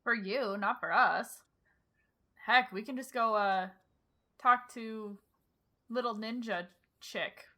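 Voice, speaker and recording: A woman livestreamer giving casual, wry, halting commentary on a game as she plays. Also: a little high, bright, forceful tone. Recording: game music playing behind.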